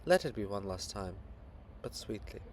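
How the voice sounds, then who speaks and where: A man's voice: lowish voice, lively intonation, normal speed, noisy background, voice pushed down, close to an everyday voice. A man voicing a sly, grandiloquent poet monument, teasing and persuasive, with emphatic theatrical delivery in a dramatic reading. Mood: urgent, fervent.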